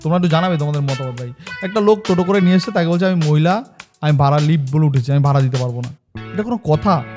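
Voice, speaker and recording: A man's voice: a little high, expressive, quick pace, music in the background. A man giving casual, exclamatory reaction commentary as a channel host, often repeating his words.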